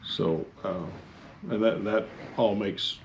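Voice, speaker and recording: A fire department instructor, a man, talks through procedures in a fairly low voice. His delivery is informal and halting, often circling back to repeat a phrase as he explains. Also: slight background noise, poor audio. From Texas, United States. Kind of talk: informal training talk.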